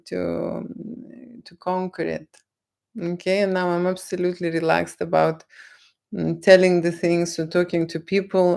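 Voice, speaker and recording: A woman with a Lithuanian accent, not a native English speaker, answering viewers' questions on a livestream in a slow, lecturing way and tagging "okay?" onto her points. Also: fairly low pitch, gravelly, darkish tone. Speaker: photographer on a livestream. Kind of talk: live Q&A chat with viewers.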